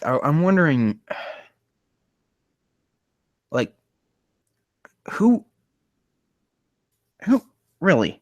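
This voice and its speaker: A man's voice: a little high, big pitch swings, takes it slowly, no echo, muffled recording. A man reading fan fiction aloud and mocking it casually, rambling and swearing in exasperation, stumbling over the text. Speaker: host reading and critiquing amateur fan fiction.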